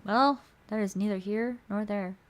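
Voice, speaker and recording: A woman's voice: medium pitch, steady tone, moderate pace. A woman streamer who narrates a visual novel aloud and chats casually with viewers. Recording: music playing behind the voice. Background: music.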